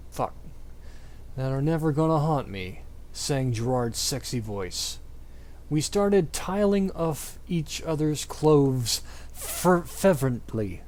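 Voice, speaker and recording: Theatrical man reading slowly, with big pitch swings. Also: darkish tone. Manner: theatrical, exaggerated delivery; breaks into laughter and stumbles while reading. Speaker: amateur reader. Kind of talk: dramatic reading of fan fiction.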